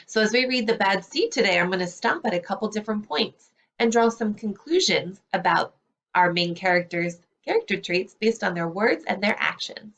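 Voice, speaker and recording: A woman speaking as a school librarian, explaining things clearly in a read-aloud lesson for young children. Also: medium pitch, expressive, normal speed, fairly bright tone. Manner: clear, explanatory teacher talk.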